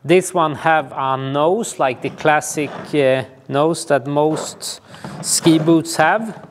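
A man, informally explaining outdoor gear to camera in a hesitant, halting way. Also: on the high side, a little hiss or hum. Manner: hesitant, halting delivery with frequent pauses. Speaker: ski touring guide and instructor. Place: small indoor gear shop room.

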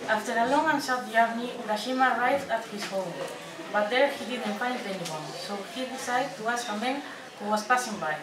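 Young woman, scripted story narration, fairly high pitch, far from mic, crowd behind. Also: normal speed. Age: young adult. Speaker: student narrator. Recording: distant echoey room, background crowd.

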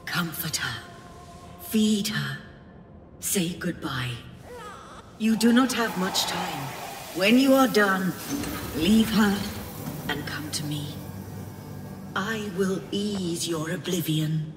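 A woman playing a solemn, possessive otherworldly being in a video game, speaking in a measured, stately way with cold tenderness. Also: fairly low pitch, expressive, background noise. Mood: commanding. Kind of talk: dramatic video game voice acting. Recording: loud game music behind the voice.

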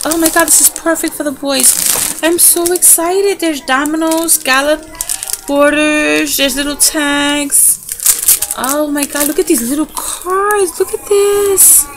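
A woman's voice: sits high, steady tone, a little slow. A middle-aged woman chatting casually and gushing as she shows off craft supplies in a home video. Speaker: craft vlogger. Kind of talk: casual show-and-tell unboxing. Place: home room by a window. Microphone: camera in front of her, hands in frame. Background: TV and children's noise.

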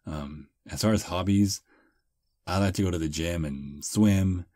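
A man playing a charming male vampire on a first date, soft, relaxed and self-deprecating, close to the mic in ASMR roleplay. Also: sits low, measured pace, dry recording. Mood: calm.

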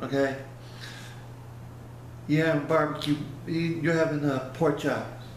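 Middle aged guy rambling into his webcam, kinda high voice, super echoey little room. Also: fairly even intonation, measured pace. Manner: rambling, folksy, loses his words. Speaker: vlogger. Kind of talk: casual product review vlog. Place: small indoor room by a plain wall. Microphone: webcam at close range. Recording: very echoey room, faint hiss.

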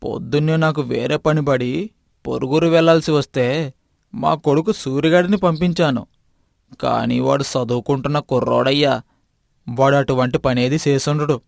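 Gravelly, defensive village milkman, played by a man. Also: medium pitch, fairly even intonation, normal speed, no echo, studio quality, lightly acted. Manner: defensive, rustic. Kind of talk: animated moral story dialogue.

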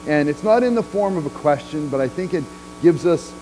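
Middle-aged pastor preaching conversationally. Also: male voice, a little high, expressive, fairly quick, mellow, faint music behind, rough recording. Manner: conversational preaching with frequent "right?" and "um"; walks the chancel gesturing while speaking. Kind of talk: sermon. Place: large church sanctuary.

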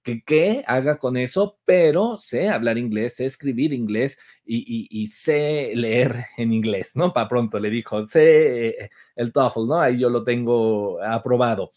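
A man's voice: mid-range voice, unhurried. Laughing young man with a Mexican accent. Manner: animated, laughing, mocking asides. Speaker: co-host. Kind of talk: casual gossip chat. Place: home room, indoors. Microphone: video call.

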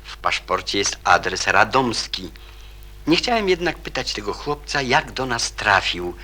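An actor playing a courteous, perceptive man in a radio drama, husky and politely questioning. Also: fairly low pitch, sing-song, exaggerated voice. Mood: thoughtful, considerate. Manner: polite, measured, gently questioning. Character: courteous, perceptive adult man, calmly probing and considerate. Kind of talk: Polish radio drama acting.

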